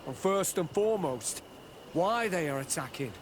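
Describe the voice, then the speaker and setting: A man's voice: a little high, lively intonation, moderate pace, a little hiss or hum, natural voice. A man voicing a dutiful, worried military officer of a besieged outpost in a video game, earnest in manner. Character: worried male outpost officer, dutiful soldier under siege. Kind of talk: video game cutscene acting.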